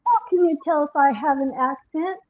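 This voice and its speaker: A woman's voice: high-pitched, normal speed, narrow, tinny audio. A woman guest calling into a live stream, telling a story animatedly and laughing as she goes. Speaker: guest caller. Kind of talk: casual storytelling.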